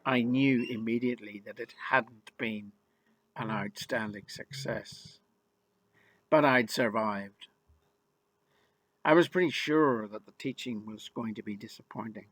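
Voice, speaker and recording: Slow, wry older man. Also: mid-range voice, fairly bright tone, no room sound, poor audio. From Ireland. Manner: wry, rueful and emphatic. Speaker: memoir narrator. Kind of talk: first-person storytelling.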